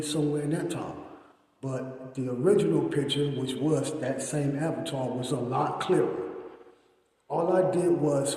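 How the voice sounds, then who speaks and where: Man vlogging, rambling and repetitive, slightly high voice, very roomy echo. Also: dark tone. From Austin, Texas. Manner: rambling, repeats himself. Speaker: YouTuber. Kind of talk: casual talk to camera.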